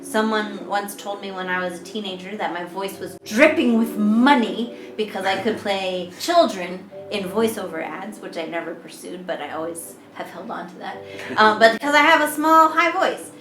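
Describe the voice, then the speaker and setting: A young woman with a high, squeaky voice, co-hosting a podcast in casual chat, laughing easily and restarting her sentences. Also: animated, moderate pace. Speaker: podcast co-host. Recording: roomy echo with music playing behind.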